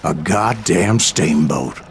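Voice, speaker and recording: An actor playing a gruff old American gunslinger who narrates his tall tales in a folksy, profane drawl. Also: low voice, animated, takes it slowly, background noise, exaggerated voice. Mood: grave. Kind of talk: scripted first-person storytelling narration. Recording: loud music behind the voice. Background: loud game music.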